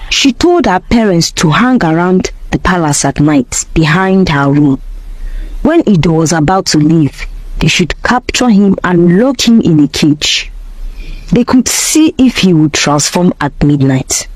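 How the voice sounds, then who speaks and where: A woman's voice: on the low side, animated, unhurried, a little mellow, no room sound, low-quality recording. A woman narrating a scripted folktale as a storyteller.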